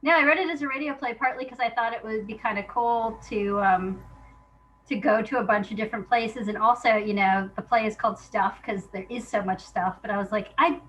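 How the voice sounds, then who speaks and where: A woman speaking as an interview guest, a playwright chatting casually about her work and telling it in an animated way, acting out remembered exchanges. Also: on the high side, fairly quick, slight background noise, poor audio.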